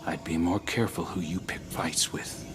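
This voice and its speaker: A man's voice: low-pitched, steady tone, normal speed, over music, lightly acted. A man playing a brooding, defiant young hunter in a video game drama, speaking tersely and pointedly. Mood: menacing.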